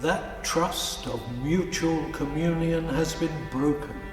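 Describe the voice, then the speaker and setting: Solemn, slow male narrator in echoey hall. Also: on the high side. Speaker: narrator in a cantata. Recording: echoey hall, distant mic, music.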